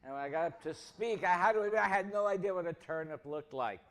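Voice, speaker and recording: A man speaking as a minister to his congregation, reading and preaching with measured, deliberate phrasing. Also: high-pitched, a bit bright. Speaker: minister leading a church service. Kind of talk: sermon, reading aloud to a congregation.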